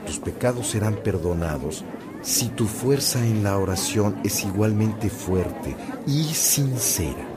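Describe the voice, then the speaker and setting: A deep-voiced, earnest Mexican priest. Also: male voice, expressive, takes it slowly, over a noisy crowd, heavy background noise. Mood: calm, exhorting. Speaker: lead actor. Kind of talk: Spanish-language radio drama acting. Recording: music behind the voice.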